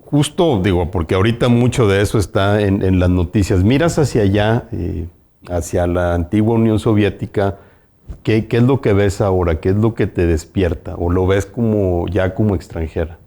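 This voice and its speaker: Host: man, deep-ish and mellow, asks meandering questions. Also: moderate pace. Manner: long, winding questions with fillers. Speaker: interview host. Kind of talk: interview questions.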